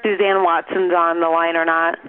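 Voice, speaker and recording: A man's voice: on the high side, level tone, moderate pace, clear, resonant tone. A man giving an informal update as a program representative on a conference call, speaking in a hesitant, rambling way over the telephone. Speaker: regional mental health program representative. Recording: tinny phone line with faint noise.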